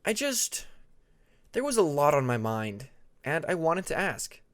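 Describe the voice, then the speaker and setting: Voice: mid-range voice, big pitch swings, unhurried. A narrator voicing a young male dragon who is curious and uncertain, speaking in a hesitant, questioning way.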